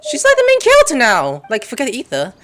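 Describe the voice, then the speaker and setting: A woman's voice: a little high, wildly swooping pitch, fast, fairly bright tone. A woman giving casual, slangy, exclamatory commentary as the host of a gaming video. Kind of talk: casual let's-play commentary.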